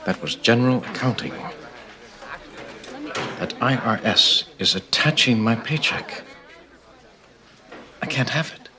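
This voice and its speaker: An actor playing an earnest, formal alien immigrant police detective in a television drama, with precise diction. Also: male voice, medium pitch, animated, slow, pauses often, a crowd in the background. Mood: alarmed.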